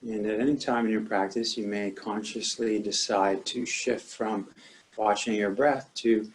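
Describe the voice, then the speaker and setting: Male meditation teacher, lower-pitched voice, calm and measured, leaving long pauses between instructions. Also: a little hiss or hum.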